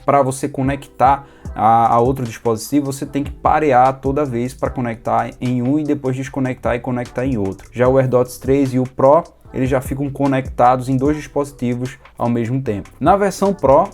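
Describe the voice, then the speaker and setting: A man's voice: medium pitch, darkish tone. Brazilian guy doing a chatty tech review, explains stuff step by step, bit of music behind him. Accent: Brazilian Portuguese. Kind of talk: informal product review to camera. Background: background music.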